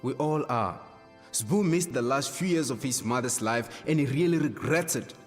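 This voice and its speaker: Actor as a calm devout man, South African accent, medium pitch, long reverb, sincere, radio drama. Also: moderate pace, natural voice. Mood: sincere, firm. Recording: long reverb, faint music behind.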